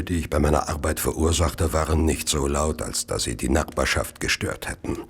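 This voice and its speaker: An actor in a German radio play voicing a deranged, obsessive murderer with a gravelly, breathy voice and a halting delivery. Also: male voice, extremely low pitch, fairly bright tone, full character voice. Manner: halting, slow, erupting into frenzy. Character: deranged, obsessive Victorian murderer, brooding and confessing.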